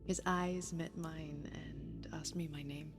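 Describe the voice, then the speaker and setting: A woman playing a grieving, heartbroken lover in a poetic audio-drama monologue, measured and reflective, with breathy pauses. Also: sits low, fairly even intonation, over music, exaggerated voice. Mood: tender, wistful.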